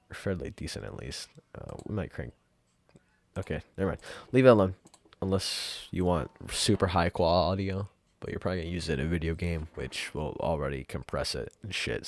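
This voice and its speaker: A man giving a casual, off-the-cuff software tutorial in an offhand, rambling and informal way. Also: low voice, gravelly, no echo. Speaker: tutorial presenter.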